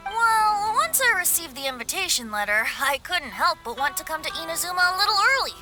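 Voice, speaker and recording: A woman's voice: very high-pitched, sing-song, brisk, over music. A woman playing a playful, mischievous young male bard in a video game, theatrical and lilting. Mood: breezy storytelling. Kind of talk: video game cutscene acting.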